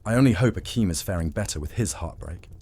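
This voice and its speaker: Male actor as a low-voiced, formal Jinn vampire. Stern and reproachful. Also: animated, fast talker, a little mellow. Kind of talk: fantasy audio drama acting.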